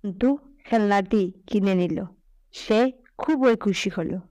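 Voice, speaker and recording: Woman, children's story narrator, medium pitch, dry studio-like sound. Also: takes it slowly, mellow, no room sound.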